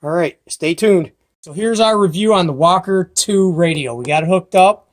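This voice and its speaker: A man's voice: high voice, moderate pace. Folksy, enthusiastic man reviewing gear. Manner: enthusiastic, folksy, rambling with fillers. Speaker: host of a CB radio review channel. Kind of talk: casual product review and unboxing. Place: at home, filming handheld by himself.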